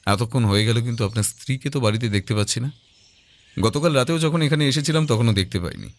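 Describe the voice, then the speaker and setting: A man playing a polite, persistent private detective in an audio drama, questioning directly and calmly. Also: medium pitch, quick pace, close to an everyday voice. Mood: calm, probing.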